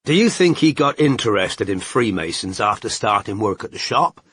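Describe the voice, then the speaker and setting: A man voicing a gruff, terse male detective with a husky voice, asking short probing questions in an audiobook. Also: on the low side, animated, moderate pace, a bit bright, dry recording, studio quality. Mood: questioning. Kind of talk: audiobook character voice.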